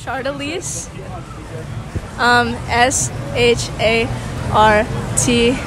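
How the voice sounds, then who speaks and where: A woman's voice: on the high side, steady tone, very slow, low-quality recording. A young woman stopped in the street at an amusement park, hesitantly spelling a name letter by letter and laughing at herself. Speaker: passer-by. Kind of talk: casual street interview. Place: outdoor amusement park at night. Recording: music and crowd noise behind.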